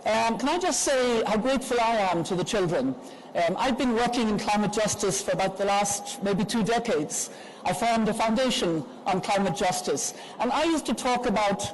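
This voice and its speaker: An older woman, a grandmother, moderating a conference panel in an earnest, measured way with frequent pauses. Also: medium pitch, a little hiss or hum, low-quality recording.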